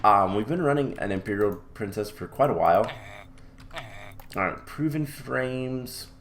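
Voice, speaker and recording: A man giving relaxed, chatty commentary over a modded game, his voice a little off because he is coming down with a cold. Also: on the low side, measured pace, slight background noise. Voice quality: a little off from illness. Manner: relaxed and chatty with many ums. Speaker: gameplay commentator. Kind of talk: casual let's-play commentary.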